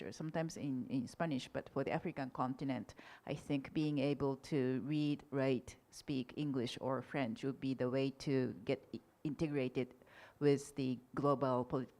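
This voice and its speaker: A woman whose first language is Japanese, answering audience questions at an academic conference in a polite, measured way with frequent fillers. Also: low-pitched, darkish tone.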